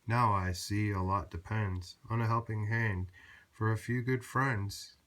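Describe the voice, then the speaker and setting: A big, self-important storybook dump truck, read by a man, makes emphatic declarations. The delivery is slow and blustering, in a grown male voice. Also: on the low side, steady tone, a bit bright. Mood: grateful.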